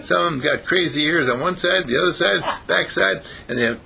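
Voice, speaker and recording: A man voicing an excitable, cheeky, doubting male rabbit in a children's story, chummy and exclamatory. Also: fairly high pitch, brisk, gravelly, breathy edge, pitched above the performer's own voice, heavily acted. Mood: amazed.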